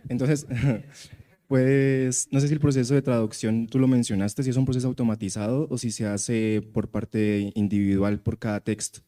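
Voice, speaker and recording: A man's voice: mid-range voice, flat delivery, fairly quick, warm tone. A man asking a question from the audience at a conference, hesitantly. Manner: hesitant, switches into Spanish.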